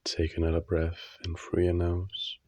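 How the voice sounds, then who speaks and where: Male meditation guide, husky and very unhurried, soothing short phrases, even delivery for sleep relaxation. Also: low-pitched, no echo. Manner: slow, soothing, instructional, with long pauses between short phrases.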